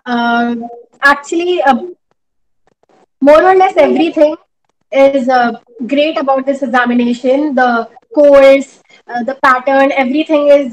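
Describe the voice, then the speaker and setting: A young woman whose first language is Hindi, answering interview questions in a measured way over a video call from a small room at home. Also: high voice, a little hiss or hum, poor audio. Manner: measured, thoughtful, with many hesitations. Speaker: interviewee, judicial exam candidate. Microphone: laptop webcam on video call.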